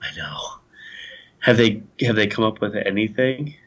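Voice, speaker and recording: A man's voice: fairly low pitch, a little slow, a bit bright, highs cut off. A man hosting a casual podcast chat, asking questions hesitantly.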